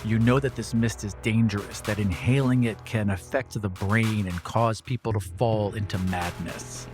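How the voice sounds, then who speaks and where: A man's voice: lowish voice, steady tone, moderate pace, music in the background. A man narrating a tabletop roleplaying game as its game master and show host.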